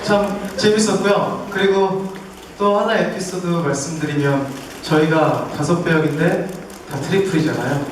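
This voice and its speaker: A young man, a stage actor, telling an anecdote at a press call. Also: on the high side. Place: theatre stage, recorded from the audience. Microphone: handheld mic through PA, distant camera. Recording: very echoey, distant, noisy.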